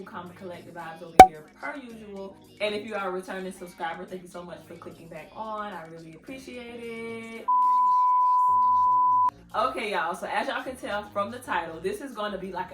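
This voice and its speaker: A woman in her thirties hosting her own channel, giving casual advice to the camera in a chatty, playful way and laughing often. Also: mid-range voice. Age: 30s. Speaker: vlogger, channel host. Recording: echoey room with faint music.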